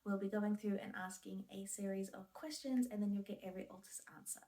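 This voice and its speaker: A woman in her twenties giving plain, measured self-introductions in an interview-style video, often trailing off softly at the ends of phrases. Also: mid-range voice, fairly even intonation. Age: 20s. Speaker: video creator.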